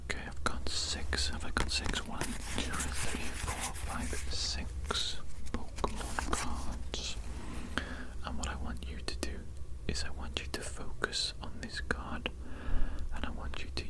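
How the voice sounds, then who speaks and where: A woman's voice: measured pace, lightly acted, rough recording. A woman whispering as a playful, rambling evil mad scientist, close to the microphone. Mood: coaxing. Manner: rambling, teasing, mock-sinister. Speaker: ASMR artist. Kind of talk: whispered ASMR roleplay.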